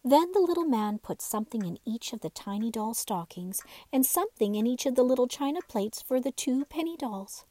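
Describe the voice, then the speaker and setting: A woman reading a children's bedtime story aloud in expressive, scripted narration. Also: a little high, a little fast, hardly any pauses. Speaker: storybook reader.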